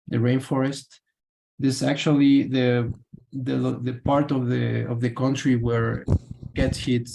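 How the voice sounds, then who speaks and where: Guy on a video call, monotone with a creaky edge, lots of uhs. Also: mid-range voice, rough recording. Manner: halting, many fillers. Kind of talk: answering questions.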